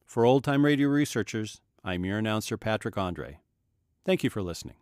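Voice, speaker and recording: A male announcer with a fairly low voice, recorded very dry. He reads scripted documentary narration in a measured, factual way. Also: expressive, darkish tone, clear, resonant tone, dry recording.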